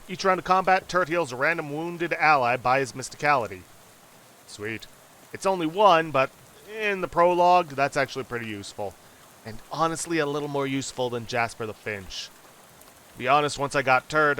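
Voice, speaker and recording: A man's voice: medium pitch, pushed and bright. A man hosting a let's play, casually and wryly commenting and reading game text aloud.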